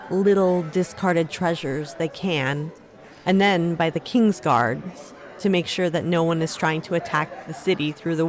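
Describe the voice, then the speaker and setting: A young survivor in a medieval fantasy audio drama, telling her own story. Her voice sits on the low side and moves slowly, over soft music. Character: Rivette, resilient young woman recounting her ordeal.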